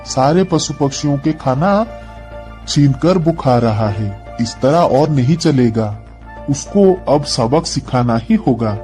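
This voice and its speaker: A man voicing a clever, scheming fox in a cartoon moral story, measured and persuasive. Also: medium pitch, animated, mellow, soft background music. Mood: resolute. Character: clever male fox, justice-minded, smoothly flattering schemer.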